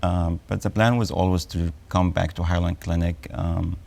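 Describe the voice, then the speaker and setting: Egyptian guy in his 40s, low flat gravelly voice, lots of ums. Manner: measured, with frequent ums and uhs. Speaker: oncologist guest. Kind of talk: TV health interview.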